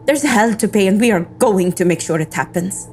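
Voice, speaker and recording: A woman's voice: mid-range voice, lively intonation, fairly quick, noisy background, natural voice. A woman playing an embittered, defiant agent in an audio drama, with a world-weary, intense, sardonic delivery. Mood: defiant resolve. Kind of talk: scripted audio drama monologue and dialogue.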